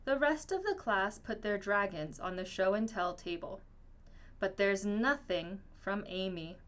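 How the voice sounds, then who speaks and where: A woman reading a children's picture book aloud in an expressive, storytelling manner. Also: mid-range voice, unhurried. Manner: expressive, acts out dialogue. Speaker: storybook reader.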